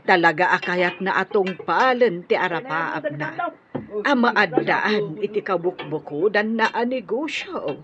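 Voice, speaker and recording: An actress playing a doting middle-aged mother in a radio drama, hopeful, with an Ilocano accent. She speaks very slowly. The recording is dull, with crowd sounds behind her. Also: mid-range voice, lively intonation, natural voice.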